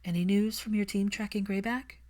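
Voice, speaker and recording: A woman's voice: medium pitch, steady tone, brisk, darkish tone, natural voice. Audiobook heroine, played by a female reader: determined, caring woman, earnest, persuasive, insistent. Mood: probing, concerned.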